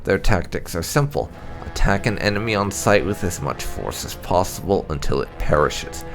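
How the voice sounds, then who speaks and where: Male game-lore narrator, deep and animated, measured dramatic storytelling over faint music. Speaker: gaming channel host. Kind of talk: reading game lore aloud, scripted narration.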